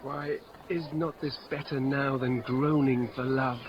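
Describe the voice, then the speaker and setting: Man acting a witty mocking gentleman, theatrical banter, radio Shakespeare, muffled vintage recording with faint noise. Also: mid-range voice, level tone, measured pace, lightly acted. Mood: playful banter. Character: witty, mocking, bawdy young gentleman, flamboyant friend of Romeo. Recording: dull, noisy old radio recording.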